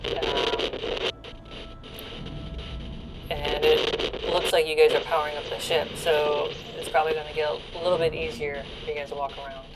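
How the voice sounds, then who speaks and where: A woman's voice: sits low, flat delivery, moderate pace, long pauses, loud music behind the voice, close to an everyday voice, rough recording. A woman playing a casual, weary spaceship commander in a tabletop game, matter-of-fact and informal. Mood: casual, uncertain. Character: casual, weary female crew commander on the ship radio. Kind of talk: tabletop roleplay.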